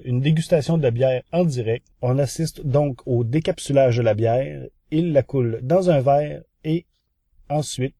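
A man's voice: mid-range voice, warm tone, no echo, clean studio sound. A man with a Quebec French accent presenting a semi-scripted podcast news segment in an orderly, formal way.

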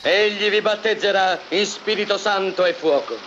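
A man's voice: high voice, moderate pace, close to an everyday voice. A man acting a fiery prophet who preaches judgement in urgent declamation, as in an old film. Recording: muffled old film soundtrack, faint noise.

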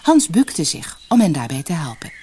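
A woman narrating a fairy tale for an audiobook in an expressive, dramatic storytelling manner. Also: low voice, normal speed, a little hiss or hum.

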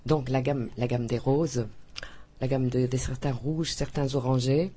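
A woman's voice: a bass voice, steady tone, measured pace, dark tone, slight background noise. A woman author from Belgium in a radio interview, talking effusively with frequent hesitations, restarts and laughter.

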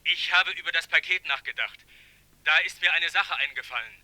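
Plain-spoken male airfield worker for radio drama: forceful, slightly high, close dry recording. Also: a little fast, dry recording, low-quality recording. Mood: earnest. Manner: emphatic, respectful. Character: plain-spoken, emphatic, respectful male airfield ground crewman. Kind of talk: German radio crime drama acting.

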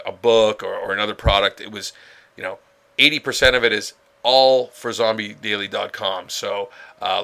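A man's voice: fairly low pitch, vocal fry, bright, forceful tone. A man hosting a casual solo podcast, rambling with many fillers and restarts, a little stuffed up from a head cold. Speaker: podcast host.

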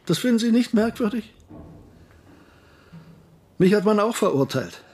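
Embittered professor, slightly husky. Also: male voice, sits high, lively intonation, measured pace, darkish tone, exaggerated voice. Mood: bitter, accusing. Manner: insistent, rhetorical, defensive. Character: anguished, embittered male German professor recounting his past. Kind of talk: radio drama narration and dialogue.

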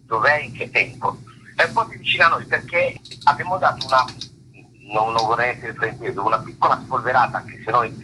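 A man with a low-pitched, very bright and forceful voice answers interview questions. He searches for words and often rephrases as he goes. The recording carries some noise, like a radio phone interview. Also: rough recording. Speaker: stage actor, interview guest.

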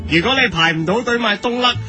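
A radio comedy figure: a spry, chatty seventy-year-old lifestyle presenter. The voice sits extremely high, with music behind it. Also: male voice, quick pace, pushed and bright, exaggerated voice. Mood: jovial. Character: spry seventy-year-old TV co-host who loves hiking.

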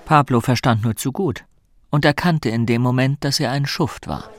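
A man's voice: medium pitch, normal speed. A man narrating a radio drama from a script. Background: music.

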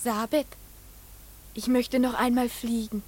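High-voiced, dreamy little girl. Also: flat delivery, a little slow, darkish tone. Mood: pleading. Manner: coaxing, wistful. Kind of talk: radio play acting.

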